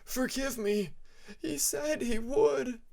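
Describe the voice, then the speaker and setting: A man's voice: extremely high pitch, slow pace, gravelly, darkish tone, voice pushed up, exaggerated voice. A man voicing a frightened, submissive male dragon who pleads in gasps for an audiobook story. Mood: tearful pleading. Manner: gasping, pleading. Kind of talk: fan fiction audiobook character voice.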